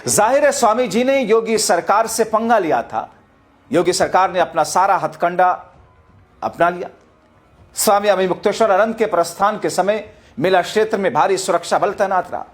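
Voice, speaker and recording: High-pitched man, emphatic news commentary. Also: expressive, moderate pace. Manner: emphatic, rhetorical, often addressing viewers as friends. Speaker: news commentator presenting to viewers. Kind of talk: dramatic political news narration. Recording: music bed behind the voice. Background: background music.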